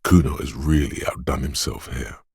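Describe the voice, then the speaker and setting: An inner voice of perception for a video game, extremely deep and heavily husky. The delivery is slow and hushed, like careful atmospheric narration. It is watchful and poetic, savoring every small sound, in a dry, close recording. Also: male voice, fairly even intonation, no room sound, exaggerated voice. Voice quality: husky, gravelly, breathy.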